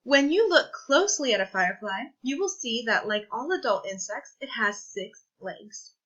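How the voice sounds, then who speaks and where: A woman with a very bright, forward voice reads a children's science book aloud. She sits a little high in pitch and phrases things deliberately, like a teacher. The recording is somewhat dull and lo-fi. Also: expressive, slightly rough. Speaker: read-aloud presenter.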